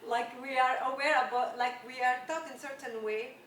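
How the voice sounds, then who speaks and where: A woman from Belgium, a dancer answering interview questions thoughtfully, with frequent pauses and restarts. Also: high-pitched, steady tone, a bit bright, slight background noise.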